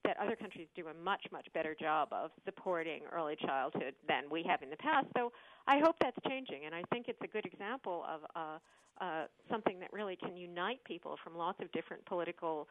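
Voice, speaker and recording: A woman's voice: on the low side, fairly quick, no room sound. Earnest woman scientist on a tinny line. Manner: earnest, many fillers and restarts. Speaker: scientist guest. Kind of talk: radio call-in answers. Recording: tinny phone-like radio line.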